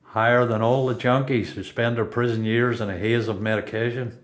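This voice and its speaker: A reflective prisoner in a radio play, acted by a man, with a Northern Irish accent. He is measured and self-controlled, his voice of middling pitch. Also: fairly even intonation, close to an everyday voice.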